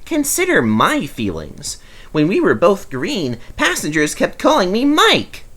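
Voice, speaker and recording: Easily offended small storybook locomotive read by a man: raised pitch, fussy, plaintive, animated. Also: a little slow, heavily acted. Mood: protesting. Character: small male engine, muddled, protesting, easily offended.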